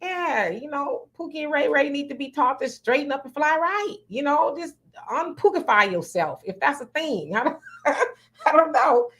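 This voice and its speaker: Chatty woman livestream host, higher voice. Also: animated, moderate pace, fairly bright tone. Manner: chatty, frank, playful. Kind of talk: casual chat reading viewer comments.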